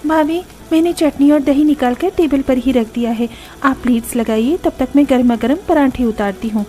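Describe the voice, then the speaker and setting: A woman voicing busy, dutiful young daughters-in-law in a cartoon story, talking briskly and practically. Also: high-pitched, mellow, close to an everyday voice. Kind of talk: Hindi cartoon story dialogue.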